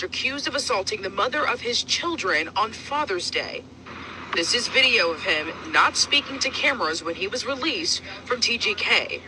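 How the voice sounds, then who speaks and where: High, lively male newsreader, noisy clip. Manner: crisp measured newsreader delivery. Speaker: news reporter. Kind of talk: scripted TV news narration. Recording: noisy played-back news clip.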